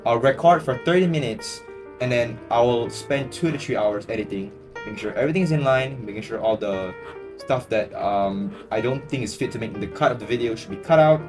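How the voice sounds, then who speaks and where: A young man, talking at an ordinary middle pitch. He rambles through viewer questions in a loose, joking way, dropping slang and filler words. A plucked guitar tune plays clearly behind him. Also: fairly quick. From Singapore. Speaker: YouTuber answering viewer questions. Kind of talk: casual Q&A chat. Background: guitar music.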